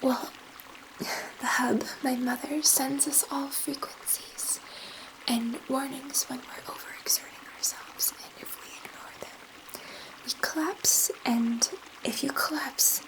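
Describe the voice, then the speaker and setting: A woman playing a gentle, curious alien scientist in an ASMR sci-fi roleplay, speaking in a soft, breathy whisper with a hesitant, soothing manner. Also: high voice, steady tone, slow pace, low-quality recording. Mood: patient, explaining.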